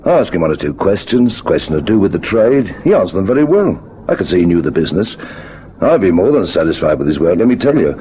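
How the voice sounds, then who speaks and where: An easygoing tradesman boss in an old radio play. His deep voice rises and falls freely as he answers frankly and casually, with faint noise behind. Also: very animated, fast, mellow. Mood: frank, obliging. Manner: casual, matter-of-fact. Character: easygoing male electrical shop boss, frank and obliging. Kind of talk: vintage radio drama acting.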